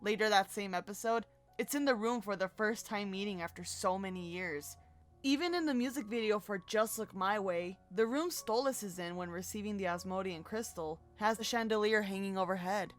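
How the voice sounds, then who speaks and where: A woman of average pitch presents scripted commentary as a video co-host. She slips in casual asides, and quiet music runs underneath her voice. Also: moderate pace. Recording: music playing behind the voice. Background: music bed.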